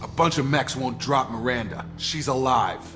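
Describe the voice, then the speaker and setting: An actor playing a steady male soldier who speaks in a level, commanding way in a video game. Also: medium pitch, moderate pace, over music, lightly acted. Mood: firm.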